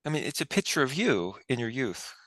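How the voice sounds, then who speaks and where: A man hosting an informal interview, tentative and halting, repeating words as he forms his questions. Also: mid-range voice, very animated, fairly bright tone, dry recording. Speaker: host and interviewer at a book reading.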